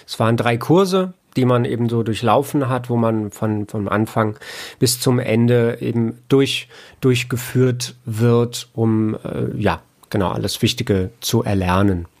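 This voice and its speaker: A man's voice: mid-range voice, a little slow, a little mellow. A man talking casually as an interview guest, explaining his audio engineering work in a rambling, colloquial way and often rephrasing himself.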